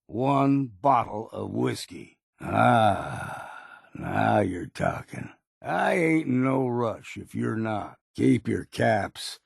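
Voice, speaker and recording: An actor playing a gruff, weary old hunter in a video game, blunt and proud, with a gravelly, husky voice and a folksy, rustic drawl. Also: male voice, fairly low pitch, animated, drawn-out words, no room sound, studio quality, heavily acted. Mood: wary, measured. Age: older. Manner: folksy, plain-spoken drawl with rustic grammar. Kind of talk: video game character dialogue.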